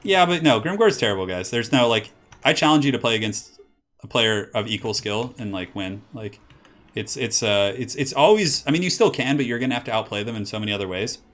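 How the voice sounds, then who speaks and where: A man's voice: medium pitch, animated, a little fast, a bit bright, soft background music. A young man from California casting a strategy game match and chatting with his stream's chat, rambling with lots of "like" and false starts. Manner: rambling, filler-heavy with many "like"s. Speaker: streamer and caster. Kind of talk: casual game commentary with chat.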